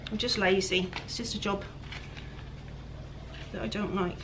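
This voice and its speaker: Croaky woman, a bit breathless. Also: medium pitch, fairly even intonation, unhurried, noisy background. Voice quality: croaky, raspy voice. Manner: chatty, often trails off quietly. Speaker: furniture flipper. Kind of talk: casual how-to chat while working.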